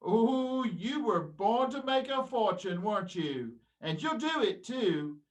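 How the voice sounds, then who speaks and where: An actor playing a miserly, haggling old junk dealer in a radio play. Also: male voice, sits high, animated, slow. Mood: sly haggling. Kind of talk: stage-style radio play acting. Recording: slightly distant microphone.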